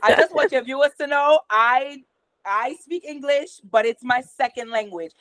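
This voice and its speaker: A woman's voice: high-pitched, normal speed, a crowd in the background. A middle-aged woman whose first language is patois, speaking English with a patois accent as a host, explaining therapy ideas steadily over a video call from a small room at home. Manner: explanatory, steady, gestures a lot with her hands. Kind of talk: casual conversation explaining therapy methods. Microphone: video call from a computer camera.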